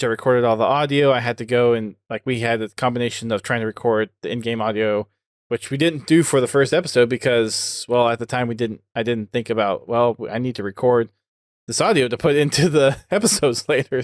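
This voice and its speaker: A man's voice: mid-range voice, dry recording, clean studio sound. A man hosting a podcast, speaking in a casual conversational way. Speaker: podcast host and video producer.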